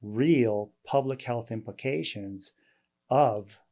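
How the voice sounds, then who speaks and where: A male doctor hosting an interview, talking in a halting way with many fillers and restarts. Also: mid-range voice, warm tone. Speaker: host, weight loss doctor. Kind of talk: casual interview chat.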